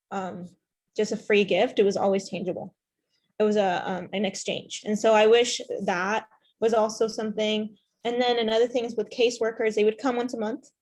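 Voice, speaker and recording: Young woman from Ethiopia, non-native English speaker; earnest panelist voice, halting with fillers. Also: medium pitch. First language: not English. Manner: earnest, many fillers and restarts. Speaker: panelist with foster care experience. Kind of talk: panel discussion.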